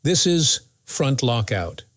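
A man narrates a scripted motorsport recap. His pitch is mid-range and the sound is dry and close, with no room echo. He presents it as a news channel's narrator. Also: sing-song, slow, fairly bright tone, slightly rough.

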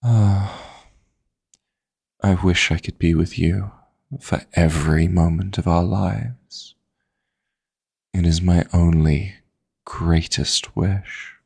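A man playing a seductive, possessive yet tender dream demon lover in an intimate audio roleplay, murmuring flirtatious endearments in a husky, breathy voice close to the mic. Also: steady tone, takes it slowly, long pauses, a little mellow, dry recording, full character voice. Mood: wistful, longing. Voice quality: gravelly, husky, breathy low voice. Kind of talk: intimate romantic sleep-aid audio roleplay. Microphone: close, dry studio-style microphone.

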